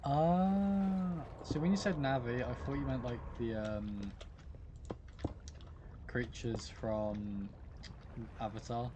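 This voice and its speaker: A man's voice: medium pitch, slow, pauses often, muffled recording, noisy background. A British man chatting casually with viewers while playing a game live, greeting them by name in a goofy way. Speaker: livestream gamer. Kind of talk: casual live-stream chat while gaming.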